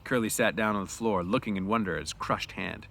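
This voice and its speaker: A man's voice: fairly low pitch, fairly quick, creaky, fairly bright tone. A man narrating a story aloud in an informal way, with casual asides.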